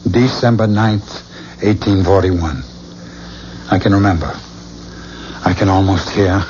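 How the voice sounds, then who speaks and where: A man's voice: low voice, darkish tone, slight background noise. An actor playing a troubled, intense man who believes he is a reincarnated killer, in a 1970s radio drama. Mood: trancelike, haunted. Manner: haunted, intense, halting. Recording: dull 1970s radio recording with music.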